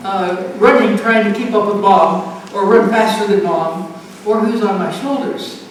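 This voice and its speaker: Woman in her nineties, halting delivery, repeated phrases, personal reminiscence, very reverberant community hall, distant microphone. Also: medium pitch. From United States. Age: 90s. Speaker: speaker at a family art show. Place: community center event room. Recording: echoey, noisy room, distant microphone.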